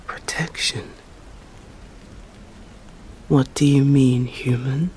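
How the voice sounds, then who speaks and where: A woman with an English accent voicing an ancient, stern female vampire in an ASMR roleplay, speaking formally in slow, hushed whispers. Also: extremely low pitch, steady tone, a little hiss or hum, heavily acted. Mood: tender.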